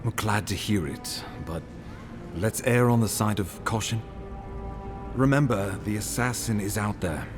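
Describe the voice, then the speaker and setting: Man acting a low-voiced, commanding imperial official. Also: over music, natural voice. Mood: measured, cautioning. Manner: formal, deliberate, authoritative. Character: grave, commanding imperial official briefing an envoy. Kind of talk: video game dialogue, formal and measured.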